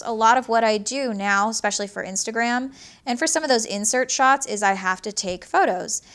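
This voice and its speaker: A woman in her twenties with a higher, slightly nasal voice, talking casually to camera about her gear in a small home studio, recorded on a lapel mic. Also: a bit bright, clean tone. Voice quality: higher-pitched voice, somewhat nasal. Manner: chatty, explains gear step by step, gestures with her hands. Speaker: YouTube creator. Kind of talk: casual explanatory talk to camera. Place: small home studio room with shelves, indoors, not soundproofed. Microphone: small lapel mic clipped to hoodie.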